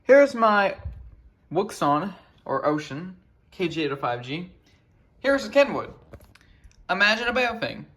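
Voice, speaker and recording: Excitable man with a high, bright voice. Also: a little slow, long pauses. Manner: excitable, exclamatory. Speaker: weather radio hobbyist and video maker. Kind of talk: casual, spontaneous on-camera chat. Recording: faint background noise.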